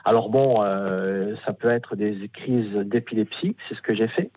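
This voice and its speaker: A man from southern France calling a radio show, halting and hesitant with many fillers and restarts. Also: on the low side, steady tone. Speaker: caller. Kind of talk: phone-in conversation. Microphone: telephone. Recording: tinny phone line.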